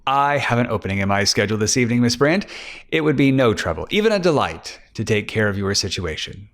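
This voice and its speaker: A man voicing a slick, greedy, flirtatious private detective in a crime audiobook, smooth-talking and cocky. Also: medium pitch, sing-song, fast talker, fairly bright tone. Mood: charming.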